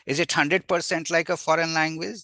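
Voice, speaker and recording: A man from Bihar in India, a native Magahi speaker with an Indian accent, lecturing informally as a language teacher in an animated way, often posing rhetorical questions. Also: a little high, fairly quick, no echo, clean studio sound. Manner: animated, asks and answers own rhetorical questions. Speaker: university language teacher, invited webinar speaker.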